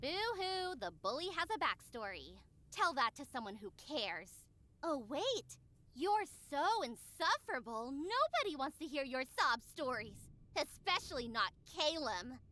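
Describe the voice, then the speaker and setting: A bitchy magical girl for a visual novel, sharp bright voice, sarcastic, swings all over. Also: high voice, sing-song, measured pace, full character voice. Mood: scornful. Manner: scornful, sarcastic, argumentative. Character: combative, bitter young magical girl lashing out.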